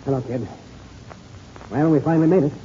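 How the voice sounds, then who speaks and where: Man acting a mellow, plucky radio-serial pilot. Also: fairly high pitch, brisk, natural voice. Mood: pleased. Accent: American. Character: steady, plucky man, seasoned adventure pilot. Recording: muffled, noisy old radio recording.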